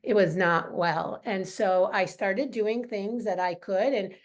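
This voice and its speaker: A woman's voice: medium pitch, slow. A middle-aged woman speaking as a wellness coach in an informal live training, earnest, conversational and personal. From Lebanese.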